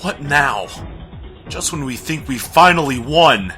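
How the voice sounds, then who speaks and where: A man's voice: medium pitch, animated, measured pace, bright, forceful tone. A man playing a resolute heroic warrior leader in a fantasy audio drama, speaking in a commanding, dramatic way. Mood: exasperated. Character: heroic male fire warrior leader, robotic being, resolute. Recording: music behind.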